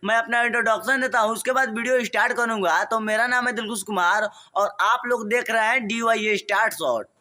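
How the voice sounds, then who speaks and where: A man's voice: sits high, a little fast, few pauses, pushed and bright. A man hosting an online news update, delivering a promotional introduction to viewers. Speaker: host of a gossip update channel.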